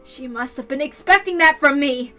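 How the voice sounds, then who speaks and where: A woman narrates a story in the first person with mournful intensity. Her voice is high and bright, and music plays behind her reading. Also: moderate pace. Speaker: narrator reading a visual novel aloud.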